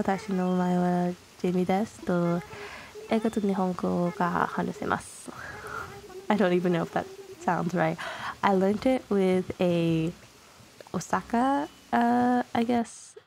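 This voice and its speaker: A woman, raised speaking Tagalog, chats casually over gameplay in a mid-pitched voice with a gravelly fry in it. She rambles and drifts between thoughts, laughing at herself as she goes. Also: a little mellow, poor audio. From Canada. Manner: chatty, rambling, self-conscious laughs. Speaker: gaming YouTuber.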